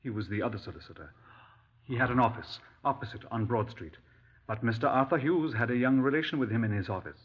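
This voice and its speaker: An actor playing a talkative, parochial Welsh country police sergeant with a quaint, emphatic manner in a 1950s radio drama. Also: male voice, mid-range voice, fast talker, natural voice. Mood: gossipy, explanatory. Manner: quaint, emphatic, tag questions. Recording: dull, husky-edged 1950s radio recording.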